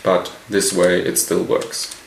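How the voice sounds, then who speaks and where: A man giving an unscripted technical walkthrough as a hobbyist presenter, halting and pausing mid-sentence. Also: low-pitched, completely flat delivery. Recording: roomy echo, light background noise.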